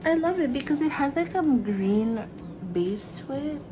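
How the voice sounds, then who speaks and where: A woman's voice: sits high, lively intonation, unhurried, over music. A young woman with a Filipino accent, chatting through a makeup tutorial in her bedroom, bubbly and talking as she applies makeup. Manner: chatty, bubbly, talks while applying makeup. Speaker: makeup vlogger. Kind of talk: casual makeup tutorial narration. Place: small bedroom with fairy lights.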